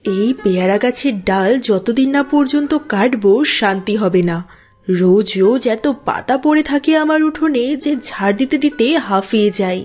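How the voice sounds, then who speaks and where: A woman's voice: fairly high pitch, expressive, normal speed, darkish tone. A woman voicing a quarrelsome, easily annoyed village housewife in a cartoon. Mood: irritated, bossy. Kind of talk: Bengali cartoon voice acting. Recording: dull audio with music underneath.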